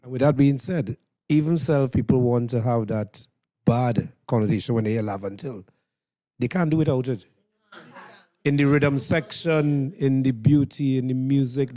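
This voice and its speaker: A man's voice: mid-range voice, mellow. A man with a Trinidadian accent hosting a radio interview in an encouraging, conversational way. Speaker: radio host.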